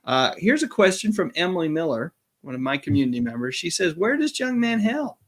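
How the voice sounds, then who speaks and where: A man's voice: fairly high pitch, big pitch swings, a little fast, fairly bright tone. A man hosting a livestream, chatting casually and reading out viewer questions in a friendly, courteous manner. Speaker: livestream host.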